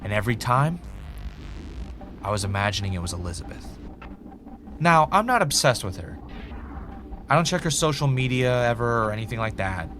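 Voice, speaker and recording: An actor playing a bitter, self-justifying former reality show winner turned podcaster, delivering a reflective confessional monologue with dramatic pauses. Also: male voice, mid-range voice, big pitch swings, fairly bright tone, soft background music. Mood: bitter, resolute. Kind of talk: confessional first-person scripted audio drama monologue.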